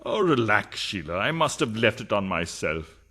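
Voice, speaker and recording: Man playing a deep-voiced, coaxing philanderer. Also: big pitch swings, normal speed, sharp tone, heavily acted. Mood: dismissive. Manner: smooth-talking, cajoling. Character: coaxing, impatient philandering husband. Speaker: radio play actor. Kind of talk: farcical radio play acting.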